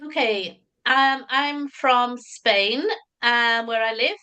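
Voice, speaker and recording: Bright-voiced Welsh woman presenting. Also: fairly high pitch, takes it slowly, clean tone. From Wales. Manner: chatty and enthusiastic. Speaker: materials writer and teacher educator. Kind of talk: webinar presentation.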